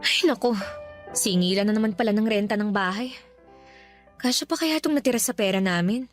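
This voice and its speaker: A woman's voice: mid-range voice, fairly quick, soft background music, natural voice. A woman playing an exasperated, nagging young wife and mother with a Filipino accent, in an emphatic radio drama style. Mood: worried. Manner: scolding, pleading, emphatic rhetorical questions.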